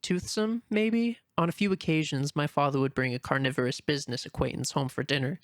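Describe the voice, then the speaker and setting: A man voicing a shy, earnest young female rabbit in an amateur dramatic reading, speaking softly and from the heart. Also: fairly high pitch, fairly quick, no room sound, clean studio sound, natural voice. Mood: warm. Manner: soft, heartfelt, anxious inner murmuring. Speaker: voice actor in a fan reading.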